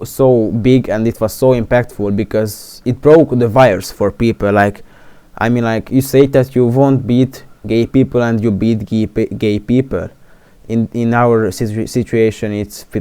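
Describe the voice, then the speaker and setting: A man's voice: medium pitch, warm tone, smooth, no room sound. A seventeen-year-old boy from Romania, a native Hungarian speaker, talking casually in a vlog with many fillers and restarts. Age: teens. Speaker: vlogger.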